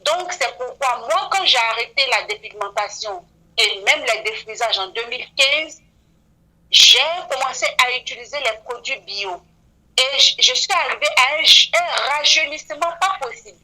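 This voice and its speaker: A woman, speaking earnestly as a beautician guest on a radio interview and often filling pauses with "voilà". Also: high-pitched, lively intonation, pushed and bright, low-quality recording. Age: 40s. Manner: earnest, frequent "voilà" fillers.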